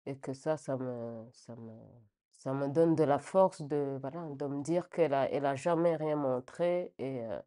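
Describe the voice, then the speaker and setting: A middle-aged woman from Rwanda giving a spontaneous personal testimony, reflective and halting, often repeating words as she searches for phrasing. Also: rumbling low, warm tone.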